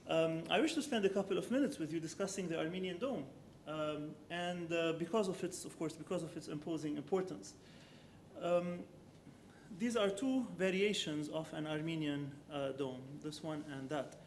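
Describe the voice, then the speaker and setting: A man with a somewhat high voice gives an academic architecture lecture, measured and unhurried. He thinks aloud as he goes, filling pauses with ums and correcting himself mid-sentence. A faint low rumble sits under the recording. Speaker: architecture professor giving a lecture.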